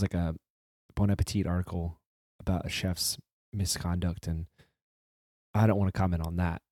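Low, mellow-voiced man, slightly hoarse. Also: no echo. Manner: rambling, restarts often, swears casually. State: voice recovering from flu, hoarse. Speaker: podcast host. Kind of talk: casual solo intro monologue.

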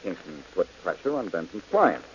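An actor playing a wisecracking tough detective, dry and sardonic, in 1940s radio acting. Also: male voice, sits low, very animated, moderate pace. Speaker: detective hero. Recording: dull old radio recording with hiss.

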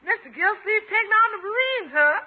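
Hearty, high-voiced teasing housekeeper. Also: female voice, lively intonation, a little fast. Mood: jovial. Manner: folksy, exclamatory, hearty. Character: jovial, teasing woman housekeeper. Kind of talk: 1950s radio sitcom acting. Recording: tinny 1950s radio recording.

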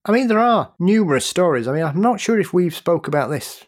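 A man appearing as a podcast guest, telling a personal story in an earnest, halting, measured way. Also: sits high, expressive. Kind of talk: casual conversation.

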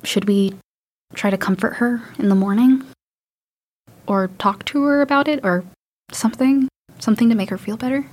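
A woman's voice: on the high side, normal speed, darkish tone, close to an everyday voice. A woman playing a grieving, frightened young daughter in an audio drama, speaking in a plaintive, hesitant way. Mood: anxious, hesitant.

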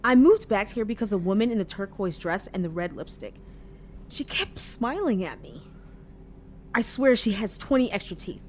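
An actress playing a quirky, paranoid, chatty young bus passenger in an audio drama, talking earnestly and matter-of-factly about odd things. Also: female voice, expressive, moderate pace, close to an everyday voice. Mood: earnest, conspiratorial. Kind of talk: audio drama acting, conversational. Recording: faint background noise with rumble.

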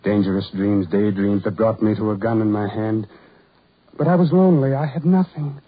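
An actor playing a lonely, self-loathing ugly farm labourer in a 1940s radio horror drama, with halting, repetitive, brooding delivery. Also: male voice, lowish voice, breathy edge, no room sound. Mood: obsessive, despairing. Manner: halting, repetitive, brooding, building to anguished outbursts. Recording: muffled, tinny 1940s radio recording.

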